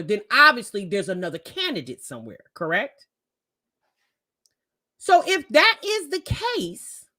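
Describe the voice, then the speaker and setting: A woman's voice: sits high, pushed and bright. A woman giving casual, opinionated commentary as a reaction host, talking emphatically and colloquially with frequent rhetorical questions.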